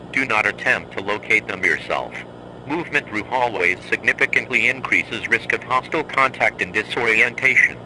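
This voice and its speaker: A man playing a stern, composed emergency announcer in a horror drama, giving measured, clipped instructions as a scripted broadcast. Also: mid-range voice, bright, forceful tone, a little hiss or hum, low-quality recording.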